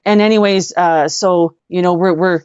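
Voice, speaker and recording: Woman, lowish pitch, casual storytelling, emphatic repetition, effusive, slightly muffled lo-fi recording. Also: fairly even intonation, normal speed, darkish tone, clean tone. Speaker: guest, rock singer. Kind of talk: casual anecdote storytelling in an interview. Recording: dull lo-fi audio, roomy echo.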